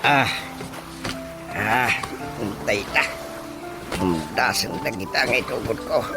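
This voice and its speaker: A man with a Filipino accent playing an older, laconic, evasive village chairman in a radio comedy-drama, with grunting, unhurried replies. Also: lowish voice, expressive, music in the background.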